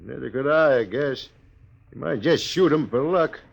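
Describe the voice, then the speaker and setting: An American man playing a grumbling, suspicious stagecoach driver in a 1950s radio western, with a gravelly, folksy, needling delivery. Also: mid-range voice, expressive, normal speed. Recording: dull vintage radio recording.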